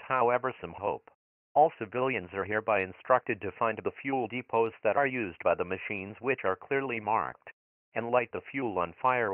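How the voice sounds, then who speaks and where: A low male voice comes through a tinny, phone-like broadcast filter, very dry. A man plays an impersonal emergency alert announcer, reading official notices in a flat, formal manner. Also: no room sound. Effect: radio broadcast filter.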